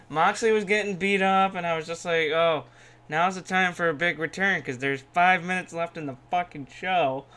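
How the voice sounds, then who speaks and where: Sweary podcast dude, brash and animated, voice a bit on the high side. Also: male voice, a little slow. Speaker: podcast co-host. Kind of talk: casual wrestling podcast banter.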